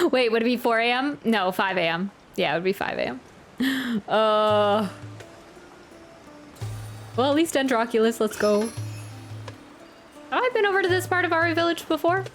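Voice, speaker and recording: A woman with a fairly high voice plays and reacts casually as a streamer. She chats along informally, with video game music faintly underneath. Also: animated, measured pace, pauses often, a bit bright.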